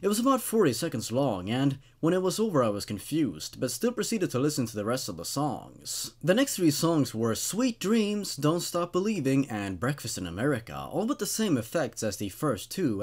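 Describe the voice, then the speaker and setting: A young man in his twenties narrates creepy stories into a studio microphone. His mid-pitched voice swings widely as he reads with drama, then drops into casual, wry commentary. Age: 20s. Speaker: host reading horror stories. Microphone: studio condenser microphone on a stand.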